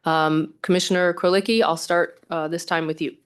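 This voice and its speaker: A woman's voice: fairly low pitch, fairly even intonation, normal speed, smooth. A woman chairing a formal public meeting in a procedural, courteous manner. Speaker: commission chair.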